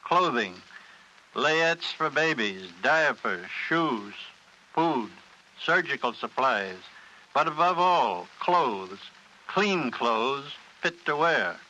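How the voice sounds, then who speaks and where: A man reading a solemn scripted charity appeal on 1940s radio in emphatic, clipped, deliberate phrases. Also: mid-range voice, animated, pushed and bright, highs cut off. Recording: hissy 1940s radio recording.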